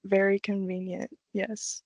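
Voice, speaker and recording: A woman's voice: mid-range voice, level tone, measured pace, darkish tone, no echo. A young woman from Illinois, an intern, chatting casually as a guest and peppering her talk with "like". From Illinois, United States. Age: young adult. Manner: informal, frequent "like". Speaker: engineering intern, podcast guest. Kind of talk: casual chat.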